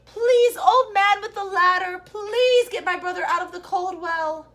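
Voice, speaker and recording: A woman's voice: extremely high pitch, a little slow, a bit bright, voice pushed up. A woman voicing a small, breathless boy, urgent and panting as he cries out. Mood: shouting.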